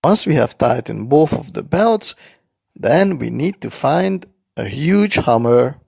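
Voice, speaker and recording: Gravelly, slow-paced man telling children's stories. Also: mid-range voice, expressive, darkish tone, no room sound, studio quality. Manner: simple explanatory talk to young children. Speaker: children's storyteller. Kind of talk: narrated bedtime story and toy play for children.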